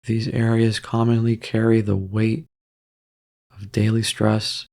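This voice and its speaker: A man leading a guided meditation in a calm, gentle, measured way, leaving long pauses between soft instructions. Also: on the low side, vocal fry.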